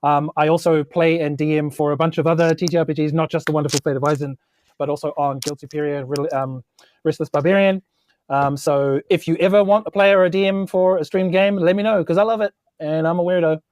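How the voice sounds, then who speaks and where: Quirky, higher-voiced New man. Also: fairly even intonation, a little fast, a little mellow. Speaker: podcast guest player, performance capture actor. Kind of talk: casual self-introduction.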